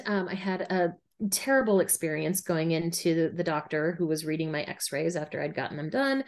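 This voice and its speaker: A woman's voice: fairly low pitch, darkish tone. A woman in her thirties, a runner and podcast guest, telling her story frankly in a casual conversational way over a remote call. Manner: candid, frank, laughs easily.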